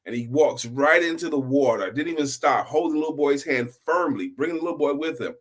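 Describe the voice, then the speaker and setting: Higher-voiced man telling an inspirational story. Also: animated, fairly bright tone. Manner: deliberate, emphatic, repeats phrases for effect. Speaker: storyteller and host of a story show. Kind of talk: motivational oral storytelling to online viewers.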